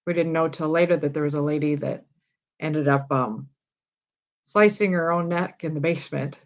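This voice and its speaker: A woman's voice: low voice, moderate pace, highs cut off. A woman from Iowa, a guest on a livestream talking over a video call in an easygoing way, laughing often and giving short replies. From Iowa, USA. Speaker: guest, paranormal investigator. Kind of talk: casual chat on a video call.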